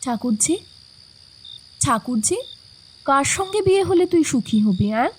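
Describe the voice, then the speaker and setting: An actress playing a curious, teasing elder sister-in-law in an audio drama, prying and lilting. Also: female voice, sits high, very animated, measured pace, slight background noise.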